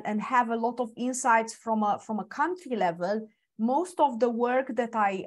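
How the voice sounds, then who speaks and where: Romanian-born woman in her forties, halting. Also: on the high side. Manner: halting, restarts often. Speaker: webinar panelist. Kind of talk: presentation talk.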